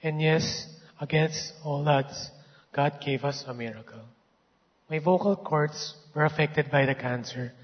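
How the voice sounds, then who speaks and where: Hoarse man giving a testimony in a hall. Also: on the high side, a little slow. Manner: earnest, grateful. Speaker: church member giving a testimony. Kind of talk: reading a prepared testimony. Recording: dull sound in a reverberant hall.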